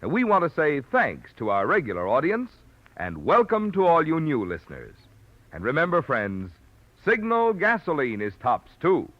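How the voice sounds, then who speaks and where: A man's voice: a little high, huge pitch swings, normal speed, dry recording. A man announcing for a sponsor on 1940s radio, with an emphatic, salesmanlike delivery. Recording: dull 1940s radio recording.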